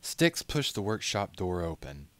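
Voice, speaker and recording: A man reading a story aloud as narrator, in a measured, solemn, dramatic audiobook style. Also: deep voice, big pitch swings, a bit bright, no room sound.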